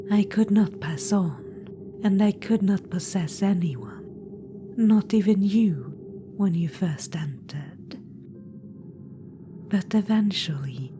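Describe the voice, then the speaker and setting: A woman's voice: fairly low pitch, warm tone, a little hiss or hum. Woman whispering as a scheming undead witch-queen. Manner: purring, patronizing, calls listener darling. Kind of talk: ASMR audio roleplay.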